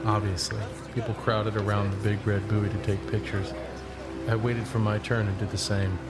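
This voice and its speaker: An actor playing a young long-distance traveler, narrating in the first person in an understated, introspective way for an audio drama. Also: male voice, on the low side, close to an everyday voice. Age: young adult. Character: reflective young long-distance walker telling his journey. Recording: prominent music bed under the voice.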